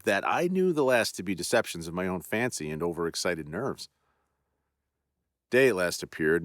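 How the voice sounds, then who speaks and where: A man's voice: low voice, no echo, studio quality. A man reading the part of a proud army general formally confessing his terror, in earnest period speech.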